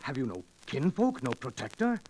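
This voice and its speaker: An actor playing a bold, passionate young outlaw leader in a 1940s radio adventure, with declamatory archaic speech. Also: male voice, medium pitch, huge pitch swings, moderate pace, darkish tone. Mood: ardent, tender. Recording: noisy 1940s radio recording with clicks.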